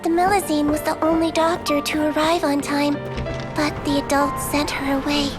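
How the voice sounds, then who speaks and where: A woman voicing a cute, gentle little doctor in a video game, soft, measured and earnest, as if telling a storybook tale. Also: high voice, flat delivery, natural voice. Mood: gentle, wistful. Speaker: story narrator and character. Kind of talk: storybook narration and game dialogue. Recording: music playing behind the voice.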